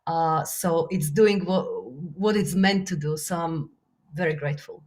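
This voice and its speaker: A woman from Slovakia speaks with a Slovak accent, her voice on the low side. She answers interview questions earnestly and reflectively. Her talk is full of small fillers and restarts. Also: measured pace. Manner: earnest, reflective, many fillers, laughs softly. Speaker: guest, business author and coach.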